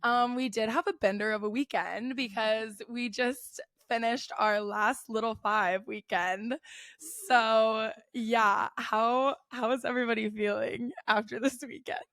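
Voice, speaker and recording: A woman in her twenties hosting a podcast, talking casually with friends, filling her speech with "like" and sounding a little raspy. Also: a little high, fairly even intonation, measured pace, a bit bright, no echo, studio quality. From United States. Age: 20s. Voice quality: a bit raspy. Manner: chatty, says "like" constantly. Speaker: podcast host. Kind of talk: casual chat.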